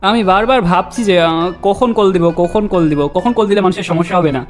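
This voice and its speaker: A man's voice: high-pitched, quick pace. A young man with a Bangladeshi accent making comedic prank phone calls in a mock-earnest, teasing way. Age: young adult. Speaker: prank-calling YouTuber. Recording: music behind the voice.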